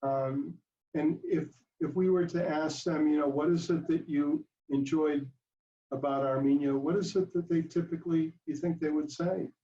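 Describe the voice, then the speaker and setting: Mellow guy hosting a q and a, sounds far from the mic, kinda echoey. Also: on the high side, fairly even intonation, moderate pace. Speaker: interviewer and moderator. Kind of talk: casual hosted conversation. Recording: roomy, distant, slightly dull mic pickup.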